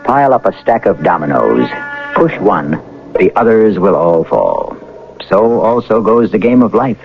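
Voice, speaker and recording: Male narrator: portentous, low and creaky, expressive inflection, music behind, muffled vintage broadcast. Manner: measured, portentous. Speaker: host and narrator. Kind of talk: dramatic scripted radio narration. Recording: muffled old radio broadcast.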